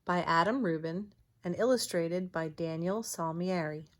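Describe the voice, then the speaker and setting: Slow-paced woman reading a storybook. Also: on the low side. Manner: deliberate, expressive storytelling. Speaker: teacher reading aloud. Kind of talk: storybook read-aloud for young children.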